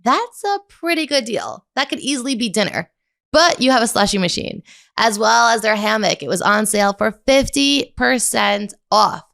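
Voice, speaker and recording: A woman hosts a podcast interview with a gravelly, fry-tinged voice. She reacts effusively to her guest's story. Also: mid-range voice, a bit bright. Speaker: podcast host.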